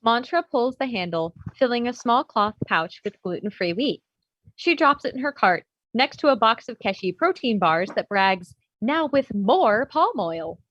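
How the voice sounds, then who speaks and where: A woman's voice: a little high, expressive, unhurried, fairly bright tone, no echo. A woman narrating the stage directions of a script at a table read. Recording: dull, slightly lo-fi audio.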